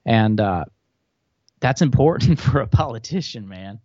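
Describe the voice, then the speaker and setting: A man's voice: mid-range voice, expressive, a little slow, a little mellow, no room sound. A man who grew up in Thailand, a podcast guest, talking politics casually and rambling with many restarts. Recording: dull lo-fi, dry sound.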